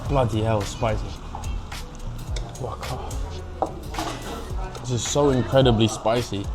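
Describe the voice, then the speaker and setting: A man's voice: mid-range voice, steady tone, slow pace, over music. A young British man talking casually and informally as a food vlogger, now and then dropping Mandarin phrases into his English. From England. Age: young adult. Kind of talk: casual food review vlog.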